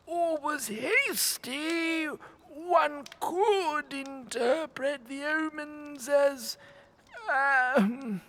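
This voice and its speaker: An actress playing an ancient head priest in a fantasy audio drama, fretful and obsequious, with a halting, quavering delivery. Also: female voice, high-pitched, a bit bright, a little hiss or hum, exaggerated voice. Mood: sly, wheedling. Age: older.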